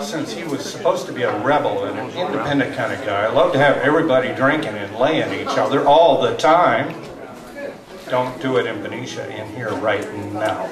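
An older man in his sixties gives a live poetry reading, with deliberate dramatic pauses and wry asides. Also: medium pitch. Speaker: poetry reader at an open mic. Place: small tavern stage indoors. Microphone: stand microphone, captured from far camera. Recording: roomy live tavern, distant mic, audience noise.

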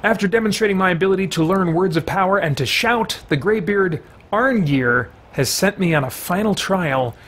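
A man's voice: sits high, moderate pace, few pauses, a bit bright. A middle-aged man giving casual let's play commentary as a gaming host. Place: indoor room with bookshelves. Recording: faint noise, game ambience behind.

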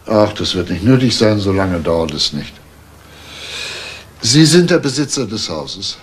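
An actor playing a calm, persistent police detective in a crime drama, speaking in a matter-of-fact, official way. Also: male voice, lowish voice, unhurried, a little hiss or hum. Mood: polite, official. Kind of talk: German TV crime drama acting.

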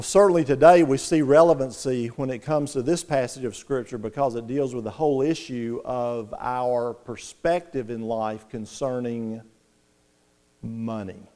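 Older pastor guy preaching in church, kinda high voice, gets louder and higher when he presses a point. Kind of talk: sermon. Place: church sanctuary.

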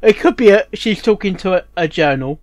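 A man with a slightly high voice gives casual, rambling commentary as a reaction host. He speaks haltingly, often repeating and restarting phrases. The sound is very dry, with no room echo. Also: expressive, a little mellow.

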